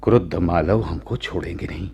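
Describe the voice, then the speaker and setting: A man playing a proud, lovestruck royal commander of ancient India in a radio drama, speaking in measured, halting, formal courtly phrases. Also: low-pitched, warm tone, highs cut off, full character voice. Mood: gentle, persuading. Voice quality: gravelly, husky. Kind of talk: slow, theatrical Hindi radio drama acting.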